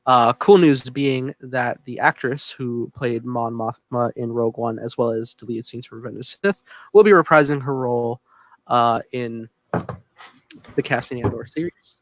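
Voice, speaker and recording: A man's voice: mid-range voice, steady tone, normal speed. A man hosting a podcast, leading the topics in a casual, conversational way.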